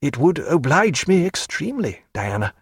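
A man reading an earnest gentleman in an audiobook, speaking in a stiff, formal manner. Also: a little high, sing-song, normal speed, slightly rough, no echo, studio quality, voice pushed up, exaggerated voice.

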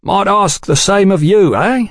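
A man voicing a tall, calculating Victorian rough with an insolent, slangy way of talking. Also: mid-range voice, animated, moderate pace, no room sound, clean studio sound, voice pushed up, natural voice.

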